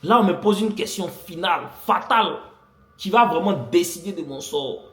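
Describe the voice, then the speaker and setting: A man's voice: high voice, expressive, normal speed, fairly bright tone. A young man vlogging, animatedly telling a personal story to his viewers, repeating phrases for effect. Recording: echoey room.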